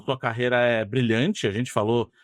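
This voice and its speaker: A man's voice: medium pitch, steady tone, moderate pace, no room sound, studio quality. A man with a Brazilian accent hosting an interview podcast in a conversational style.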